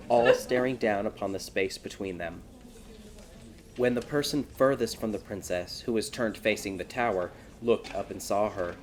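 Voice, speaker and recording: A man giving a theatrical, scripted narration of a dark fairy tale as an audiobook. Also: on the low side. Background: music.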